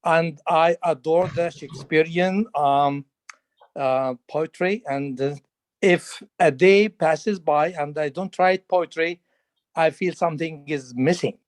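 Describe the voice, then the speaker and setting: Male caller, slow and halting, fairly high, dry close sound. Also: dry recording. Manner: hesitant, heartfelt. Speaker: webinar participant. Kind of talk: spontaneous remarks. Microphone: video call.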